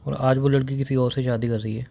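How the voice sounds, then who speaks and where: A young man on a phone call. He has been crying, sounds hoarse, and speaks in a subdued, halting way, slow to open up. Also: mid-range voice, little pitch movement, mellow. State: tearful, hoarse. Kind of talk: emotional phone conversation.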